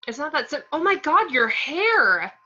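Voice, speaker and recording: A woman's voice: high-pitched, very animated, a little slow, pushed and bright, heavily acted. A woman playing an earnest, thoughtful female college student in an amateur table read. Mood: surprised, reassuring. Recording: dull lo-fi audio, noise, clicks.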